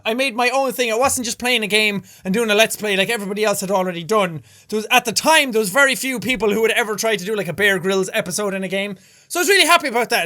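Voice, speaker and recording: An Irish man with a very high, bright voice, riffing through casual reaction commentary as a gaming creator. He bursts into loud exclamations and swears casually. His delivery is upbeat and punchy. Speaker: gaming YouTuber.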